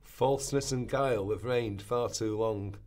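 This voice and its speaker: A fervent rebel preacher of the Middle Ages, acted by a man with a fairly low voice. He exhorts the common people in declaimed sermon and rhyme, in the manner of a radio play. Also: measured pace, darkish tone, lightly acted. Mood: grave, fervent.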